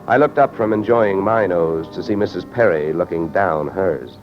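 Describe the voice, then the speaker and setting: A man's voice: sits low, normal speed. An American man playing a wry, sardonic hard-boiled insurance investigator in old-time radio detective style. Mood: wry narration. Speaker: lead actor and narrator. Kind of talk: 1940s-50s radio detective acting. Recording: dull old radio recording with music.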